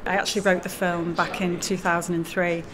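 A woman's voice: on the low side, flat delivery, fairly quick, crowd noise. A woman in her forties speaking in an interview as a lecturer and writer, recorded in a large indoor hall. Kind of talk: interview about own film. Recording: noisy room with background ambience.

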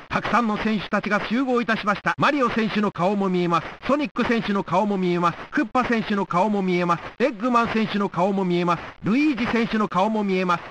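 A man playing an excitable old-time stadium announcer, giving formal, emphatic play-by-play for a sports video game. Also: high-pitched, noisy background, close to an everyday voice. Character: excitable male 1960s Olympic stadium announcer.

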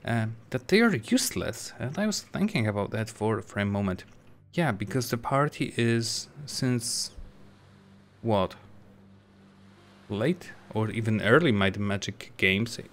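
Let's play host, male, low voice; casual, halting commentary with lots of fillers and self-corrections. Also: expressive. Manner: hesitant, many fillers and restarts.

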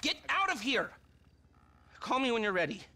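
An actor playing a sardonic middle-aged hacker who rants in quick, acerbic bursts in a game cutscene. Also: male voice, very high-pitched, very animated, pushed and bright, exaggerated voice. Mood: ranting. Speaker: game character.

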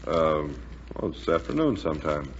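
A man's voice: extremely low pitch, big pitch swings, moderate pace, heavily acted. An actor playing a level-headed, somewhat hesitant airman in a 1940s radio war drama. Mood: measured, doubtful. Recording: noisy, muffled 1940s radio recording.

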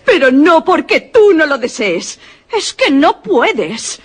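A jealous young woman, spiteful and scornful toward her mother. Her voice is high and swings wildly in pitch. She speaks theatrically, in the manner of suspense radio drama. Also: very animated, slow pace, heavily acted. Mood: scornful, taunting.

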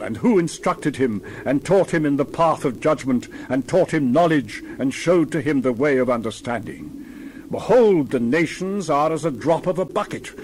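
A man's voice: medium pitch, darkish tone, slight background noise. A man reading the Bible aloud in a dramatized style, with solemn, theatrical, emphatic declamation. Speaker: Bible reader.

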